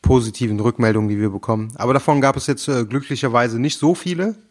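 A man's voice: medium pitch, fast. A young man co-hosting a podcast in casual chat, easygoing, prompting and commenting on what he is told. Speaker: podcast co-host.

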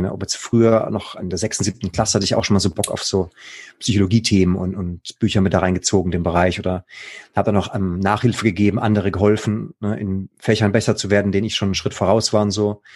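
Mental coach guest, thirties, slightly husky, lower voice, rambling and excited. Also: male voice. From Baden, Germany. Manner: enthusiastic, rambling, slangy. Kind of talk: casual interview conversation.

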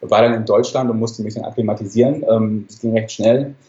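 A man's voice: fairly low pitch, steady tone, fairly quick, mellow, dull audio. A man in his forties who grew up in Hamburg, telling his story as a podcast guest in a rambling way with many fillers and self-corrections. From Ghana, raised in Hamburg, Germany. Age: 40s. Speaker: guest, surgeon. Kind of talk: casual storytelling interview.

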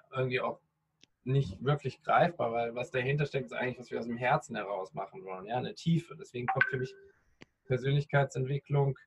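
A man's voice: mid-range voice, slightly rough. A man in his thirties from the Rhineland, a coach and podcaster, talking reflectively in an interview with many fillers and restarts. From Rhineland, Germany. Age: 30s. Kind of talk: casual interview talk. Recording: dull, lo-fi sound.

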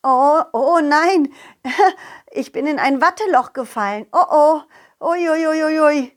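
A woman voicing a confident, loyal dog who tells his adventures directly to children in an animated, childlike way. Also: high-pitched, a little slow. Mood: excited. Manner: childlike, animated, addresses young listeners directly. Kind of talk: children's audio story narration.

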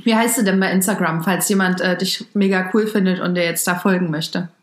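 A woman's voice: fairly low pitch, steady tone, a little fast, echoey room. A woman hosting a casual podcast, teasing her guest and giggling often. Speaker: podcast host. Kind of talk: casual chat.

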